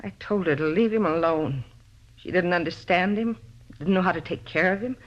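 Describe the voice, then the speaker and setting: A woman's voice: lowish voice, normal speed, lightly acted. An actress plays a middle-aged faded female star, possessive and bitter. She pleads and justifies herself in the heightened style of 1950s radio drama. The recording is dull, vintage lo-fi broadcast sound. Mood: broken, pleading.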